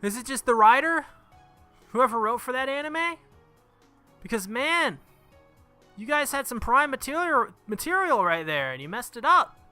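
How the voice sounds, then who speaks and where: A man's voice: very high-pitched, big pitch swings, moderate pace, pauses often, bright, forceful tone, clean tone. A man who makes gaming videos, reading visual novel text aloud and commenting casually on the game. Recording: music behind the voice. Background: game music.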